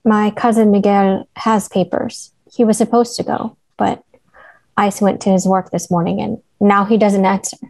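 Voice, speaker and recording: Woman reading a creaky, anxious young mother. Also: mid-range voice, little pitch movement, moderate pace, a little mellow, natural voice, poor audio. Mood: grave, worried. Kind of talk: online table read of a screenplay. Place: indoor room, video call.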